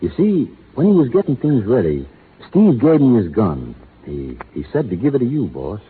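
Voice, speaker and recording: An actor playing Shorty, a loyal, gruff cowhand who talks in a plain drawl. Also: male voice, mid-range voice, huge pitch swings, normal speed. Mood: gentle. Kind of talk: 1930s radio acting. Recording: tinny 1930s radio broadcast, faint hiss.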